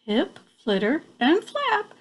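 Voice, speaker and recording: A woman's voice: sits high, wildly swooping pitch, slow pace. An older woman, a grandmother reading a picture book to children expressively, with playful, exclamatory asides.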